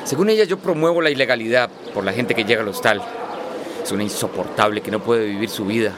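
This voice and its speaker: An actor playing an exasperated small hostel keeper in a radio drama, informal and grumbling. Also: male voice, on the low side, fast talker, noisy background, lightly acted. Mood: irritated.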